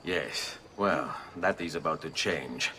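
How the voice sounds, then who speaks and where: An actor playing a grave, steely Italian assassin in a video game, speaking in a measured, solemn way with an Italian accent. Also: male voice, extremely low pitch, pushed and bright, heavily acted. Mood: stern, accusing. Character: grave, steely male assassin avenging his father, Italian. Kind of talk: dramatic video game acting. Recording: clear background ambience noise.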